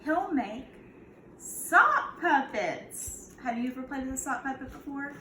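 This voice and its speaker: High-voiced woman reading to young children. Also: animated, unhurried, bright, forceful tone. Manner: instructive, prompting listeners with questions. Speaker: kindergarten teacher. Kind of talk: picture-book read-aloud for young children. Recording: echoey room, clear background noise.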